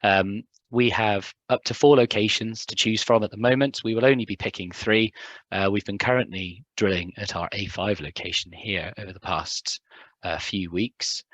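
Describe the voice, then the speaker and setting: A young man, a hydrogeologist, giving a conference talk in a hoarse, recovering voice, hesitant with many ums and restarts. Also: fairly low pitch, fairly even intonation, moderate pace, a bit bright, no room sound, rough recording. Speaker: hydrogeologist presenting at a symposium. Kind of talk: conference talk with filler words.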